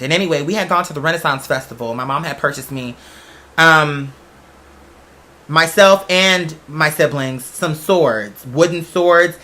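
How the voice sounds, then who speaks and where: Guy podcast host w a high bright voice, chatty storytelling, second-guesses himself out loud. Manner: chatty, emphatic, self-questioning asides. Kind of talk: casual personal storytelling monologue.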